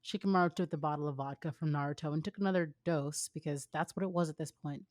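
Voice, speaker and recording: Young woman narrating in a low, dry sound. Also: fast, no echo. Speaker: co-host, fan fiction reader. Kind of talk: informal story narration with banter.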